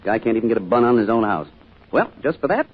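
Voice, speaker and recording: Genial, animated small-town husband. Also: male voice, medium pitch, quick pace, breathy edge. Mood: joking. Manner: casual, teasing. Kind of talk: old-time radio drama acting. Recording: muffled old radio recording.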